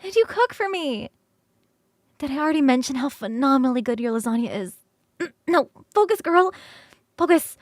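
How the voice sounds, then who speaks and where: Woman doing a bubbly succubus roommate for asmr roleplay, high girly voice, really dry close sound, rambly and teasing. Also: animated, moderate pace, pauses often, no echo. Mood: enthusiastic, distracted.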